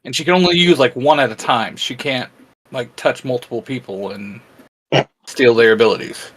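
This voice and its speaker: A man's voice: medium pitch, normal speed, fairly bright tone, husky, poor audio. A man co-hosting a casual, geeky group chat in a teasing, joking way. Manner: teasing, jokey. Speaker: co-host of a fan discussion show.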